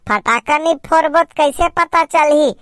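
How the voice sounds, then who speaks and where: Excitable cartoon village lad played by a woman, Chhattisgarhi accent, extremely high voice, dry close sound. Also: moderate pace, no echo. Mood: eager, argumentative. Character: excitable young village man planning a party. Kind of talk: amateur cartoon comedy dialogue.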